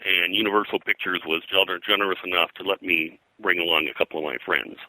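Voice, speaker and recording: Older male interview guest, low forceful voice, rambling anecdotal historian. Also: steady tone, quick pace, no room sound, rough recording. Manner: rambling, digressive, hesitant.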